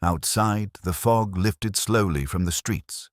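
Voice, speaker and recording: A man's voice: low voice, takes it slowly, no room sound, studio quality. A man reading a dramatic detective story in a scripted, literary narration style. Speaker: audiobook narrator telling the tale as a detective's companion.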